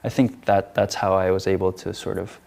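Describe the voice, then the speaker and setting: A man's voice: sits low, little pitch movement, brisk, vocal fry, darkish tone. A young man from the United States, a featured artist answering interview questions in a hesitant way, with many fillers and restarts.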